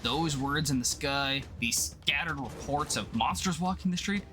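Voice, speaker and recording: A man playing jumpy working-class everymen, cabbies and cops, in a colloquial, excitable comic-book drama. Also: fairly high pitch, normal speed. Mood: urgent, puzzled. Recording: music behind the voice.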